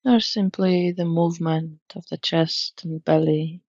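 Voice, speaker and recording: A woman leads a guided meditation at a very unhurried pace. Her voice has a noticeable creak and a gentle, soothing delivery. The recording is dry and close, with a slightly muffled tone. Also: fairly low pitch, steady tone, no echo. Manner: soothing, gentle, measured instruction.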